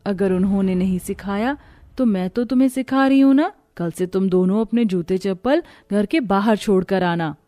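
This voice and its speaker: A woman's voice: mid-range voice, normal speed, mellow, natural voice. A woman voicing an emphatic, moralizing mother in a Hindi cartoon moral story. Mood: firm, instructive. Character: adult mother and housemaid, moralizing and scolding. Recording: music behind the voice.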